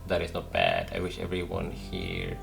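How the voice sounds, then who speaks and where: A man's voice: low voice, very animated, normal speed, vocal fry, music in the background. A man commentating casually on a game as he plays, thinking aloud and repeating words to himself. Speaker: let's player.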